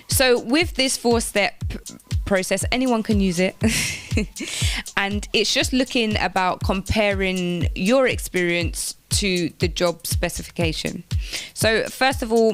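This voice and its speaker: A woman presenting informal careers advice on the radio, explaining things step by step and repeating phrases for emphasis. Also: on the low side. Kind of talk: informal instructional talk on job applications.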